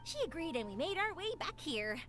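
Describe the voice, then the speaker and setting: Here is an upbeat young woman in a game who can't stop smiling and talking. Her voice sits high and swings widely up and down. Soft game music plays faintly behind her. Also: big pitch swings, a little fast. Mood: thoughtful. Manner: bright, encouraging, sing-song. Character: cheerful upbeat young woman, warm and talkative. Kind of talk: game voice acting.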